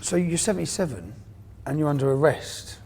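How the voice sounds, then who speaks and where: A high-pitched male presenter fronting a documentary. He moves between narration and interview questions, landing short phrases with emphasis. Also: expressive, fairly quick, darkish tone, slight background noise.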